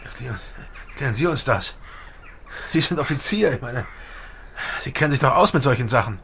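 Anxious, sharp-voiced passenger. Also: male voice, mid-range voice, expressive, fairly quick, slight background noise. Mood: urgent, demanding. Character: anxious, insistent male civilian helicopter passenger. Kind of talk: naturalistic radio crime drama.

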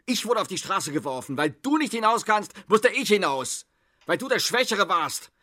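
An actor playing a bitter, defiant homeless brother in a German radio play, declamatory and brooding with sudden outbursts. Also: male voice, sits high, very animated, normal speed, pushed and bright, heavily acted. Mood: bitter, agitated.

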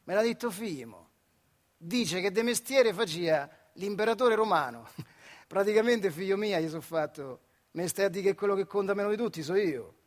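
An actor with a central Italian Marche dialect playing old factory workers, wistful and bickering comrades, in a theatrical radio monologue with an earthy, colloquial manner. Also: male voice, high-pitched, expressive, moderate pace. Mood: wistful, plain. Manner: colloquial, earthy, shifting between voices in dialogue.

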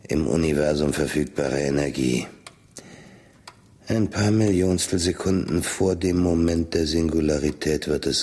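A very low-pitched, husky male voice playing a weary older man in a radio drama. He speaks slowly and deliberately, with dry, sardonic resignation, as someone foretelling catastrophe. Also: darkish tone, heavily acted. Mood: resigned, sardonic. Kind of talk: German radio drama acting, slow monologue.

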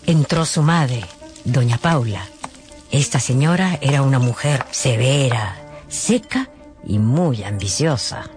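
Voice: mid-range voice, lively intonation, slow, a little mellow, faint music behind. A narrator telling a radio novel in a scripted, dramatic style.

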